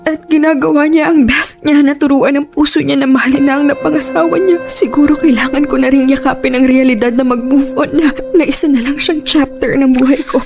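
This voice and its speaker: A woman's voice: high-pitched, steady tone, a little fast, few pauses. A woman playing a plaintive, heartbroken young woman in an emotional Tagalog radio drama, with music behind. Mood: sad resignation. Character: heartbroken young woman, office worker in love.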